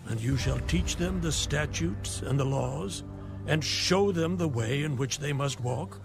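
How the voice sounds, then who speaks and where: An earnest elder priest in scripture radio drama, higher-pitched, unhurried and solemn, over music. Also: male voice, vocal fry. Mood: earnest counsel. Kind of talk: audio Bible drama acting.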